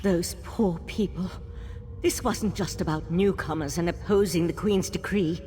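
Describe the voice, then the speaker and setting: A woman voicing an earnest female elf mage of an ancient monastic order, explaining calmly. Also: on the low side, measured pace, big-room sound, natural voice.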